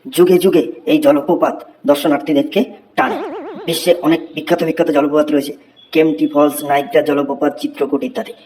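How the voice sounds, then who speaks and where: A man's voice: a little high, flat delivery, darkish tone, a little hiss or hum, natural voice, low-quality recording. A man voicing a schoolboy who recites a memorized essay in a cartoon. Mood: recitation. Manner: fast rote recitation.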